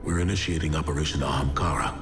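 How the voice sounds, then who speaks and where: An actor playing a stoic veteran military commander in a game cutscene, grave, clipped and resolute. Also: male voice, rumbling low, fairly even intonation, fast talker, over music. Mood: grave resolve. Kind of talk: video game cutscene drama.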